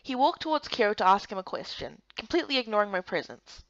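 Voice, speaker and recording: A woman's voice: mid-range voice, very animated, quick pace, fairly bright tone, no echo, lo-fi sound. A woman reading scripted story narration from a fantasy visual novel game. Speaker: narrator and voice performer.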